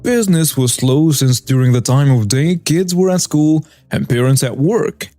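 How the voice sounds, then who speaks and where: Easygoing man narrating over soft music. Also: fairly high pitch, dry recording. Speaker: story narrator. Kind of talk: first-person story narration. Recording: dry close voice over faint music.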